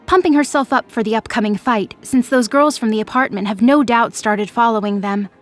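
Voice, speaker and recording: A woman's voice: a little high, a little fast. A woman narrator reading scripted, dramatic fiction for an audio drama.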